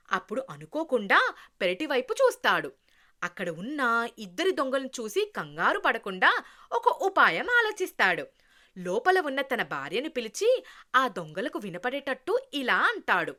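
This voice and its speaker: Female storyteller for children's tales, high voice, very lively melodic delivery. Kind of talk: scripted narration of a children's moral tale.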